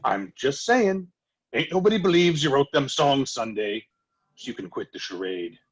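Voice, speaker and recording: A man's voice: medium pitch, expressive, normal speed, slightly rough, soft background music. A man playing a mocking, sceptical outlaw rider with a folksy drawl in a western table read.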